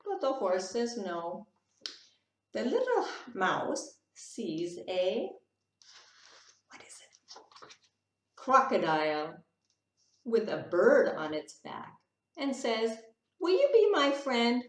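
A middle-aged woman teacher reads a picture book aloud to young children, animated and questioning, pausing for her listeners. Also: medium pitch. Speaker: English teacher reading to children. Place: small room indoors. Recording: roomy, distant webcam sound.

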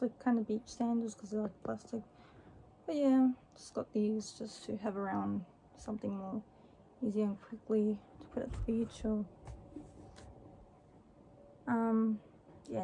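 High, mellow young woman vlogging. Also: creaky, low-quality recording. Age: young adult. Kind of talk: casual haul vlog chat. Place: small room indoors. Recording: faint background noise.

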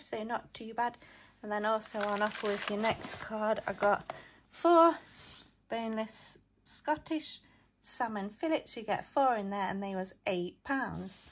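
Young woman, chatty home vlogger, slow relaxed delivery, faint background hiss. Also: mid-range voice. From England. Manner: chatty, matter-of-fact listing. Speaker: family vlogger. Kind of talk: grocery haul vlog. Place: living room at home, then kitchen counter.

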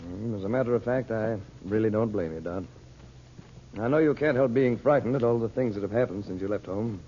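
An actor playing a calm, firm, reassuring adventurer uncle in an old radio drama. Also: male voice, on the low side, brisk, natural voice. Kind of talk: 1930s-40s radio acting. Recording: muffled, hissy old radio recording.

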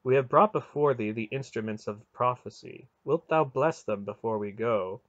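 A man voicing a calm, unafraid male counselor in a storybook, with formal, archaic and drily unimpressed speech. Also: mid-range voice, moderate pace, no echo, highs cut off, lightly acted. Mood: respectful.

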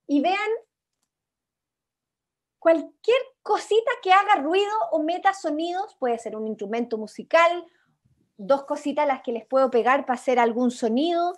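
A young Chilean-accented woman with a high-pitched voice leads an online workshop. She is informal and encouraging as she gives instructions over a video call. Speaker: workshop host. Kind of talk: interactive online creative workshop.

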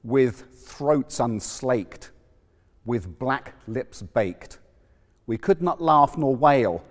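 Slow, echoing man reciting dramatic verse. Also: mid-range voice, expressive. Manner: theatrical, measured, emphatic pauses. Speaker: poetry reciter. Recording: long echoing hall reverb.

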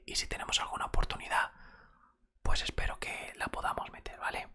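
A middle-aged man with an accent from Spain whispers softly and conversationally into a close microphone, playing a football coach in an ASMR roleplay. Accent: Spanish from Spain. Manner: soft, unhurried, conversational. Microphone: close desktop microphone.

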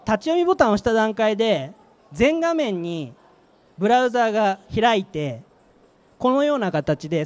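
A middle-aged man giving a live product presentation in short, emphatic phrases into a handheld microphone. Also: sits high. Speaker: company manager presenting a product. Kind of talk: live trade-show presentation with slides. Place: presentation stage at an exhibition venue.

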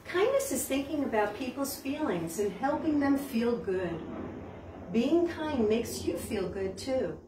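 Casting: older American woman, children's storytime host, fairly high voice, unhurried, recorded roomy and a little distant. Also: very animated. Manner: simple, encouraging questions addressed to young children. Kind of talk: children's storytime with puppets, songs and read-aloud. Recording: roomy, distant mic, background hiss.

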